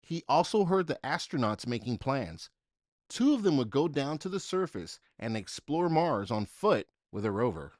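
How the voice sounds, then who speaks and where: Animated man reading a children's storybook. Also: mid-range voice, dry recording, studio quality. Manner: dramatic, deliberate phrasing with emphasis for young listeners. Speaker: storybook reader. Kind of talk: expressive children's story read-aloud.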